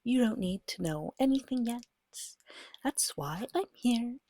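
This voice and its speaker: A woman speaking softly and breathily, often near a whisper, gently and patiently guiding a beginner as game master in an ASMR roleplay. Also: fairly high pitch, lively intonation, slight background noise. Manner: gentle, patient, reassuring, unhurried. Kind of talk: soft-spoken ASMR roleplay tutorial.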